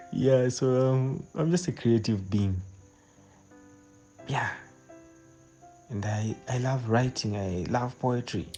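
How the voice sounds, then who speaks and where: A man's voice: mid-range voice, slow pace, pauses often, warm tone, faint music behind. A man in his twenties with a Zambian accent, answering interview questions as a guest poet in a hesitant way, often repeating phrases as he thinks. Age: 20s.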